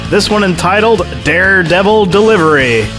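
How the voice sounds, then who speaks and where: A man's voice: sits high, lively intonation, normal speed. A man reading a podcast outro as the show's announcer. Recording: music playing underneath. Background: guitar music.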